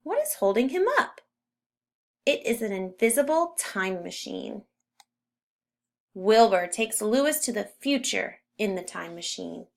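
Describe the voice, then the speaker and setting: A woman reads a children's storybook aloud in a narrating style. Her pitch sits in the middle and she stresses key words expressively, with a fairly gravelly creak in the voice. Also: animated, measured pace. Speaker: storybook reader.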